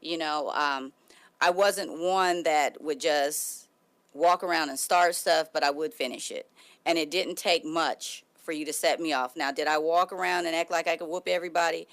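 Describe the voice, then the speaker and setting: A woman's voice: low voice, fairly even intonation, normal speed, fairly bright tone. A woman hosting a talk show, emphatic and speaking straight to her listeners. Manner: emphatic, addresses listeners directly.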